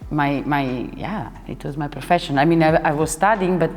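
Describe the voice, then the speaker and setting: A young woman, a dancer and choreographer, chatting as an interview guest and often pausing to search for words. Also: deep voice, darkish tone. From Italy. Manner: searching for words, restarts sentences, laughs often. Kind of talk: casual interview talk. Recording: hall reverb with faint music behind.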